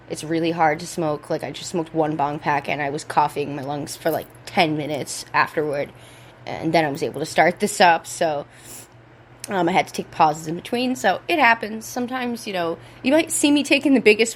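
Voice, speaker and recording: A young woman hosting a casual podcast, rambling and laid-back, with a voice hoarse from a cold, talking at home. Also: fairly low pitch, a little hiss or hum. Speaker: podcast host. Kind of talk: casual chat. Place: indoors at home.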